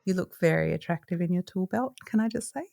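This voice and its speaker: A woman's voice: on the low side, fast, creaky, mellow. A woman, co-hosting a podcast in casual chat, bubbly and joking, often laughing. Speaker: podcast co-host.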